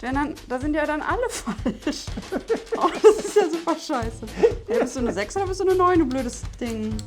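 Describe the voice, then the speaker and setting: Excitable female contestant, high and animated voice. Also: normal speed, music in the background. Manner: excitable, swears, talks to herself. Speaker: game show contestant. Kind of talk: unscripted game show play.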